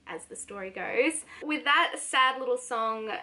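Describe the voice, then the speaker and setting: A young woman in her twenties chatting casually to camera at home, rambling a little, her voice slightly croaky. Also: fairly high pitch, expressive, measured pace, sharp tone. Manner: chatty, rambling, self-deprecating. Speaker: singer and vlogger. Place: home living room, indoors.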